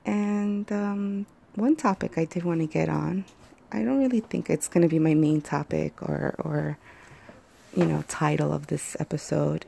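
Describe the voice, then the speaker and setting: A woman hosting a casual solo podcast, talking into her phone with a hoarse voice and many pauses and fillers. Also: low voice, warm tone. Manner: rambling, hesitant, many fillers. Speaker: podcast host.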